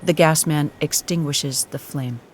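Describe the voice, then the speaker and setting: A woman narrating an audio drama in a solemn, measured storytelling manner. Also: low voice, slight background noise.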